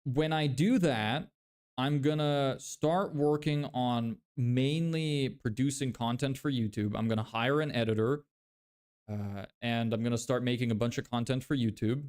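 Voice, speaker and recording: A young man whose native tongue is Lithuanian talks loosely to his livestream audience. His voice sits at an ordinary middle pitch, and his thoughts wander through fillers and false starts. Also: measured pace, a little mellow. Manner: rambling, many fillers and restarts. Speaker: livestreamer reading chat. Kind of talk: casual livestream chat.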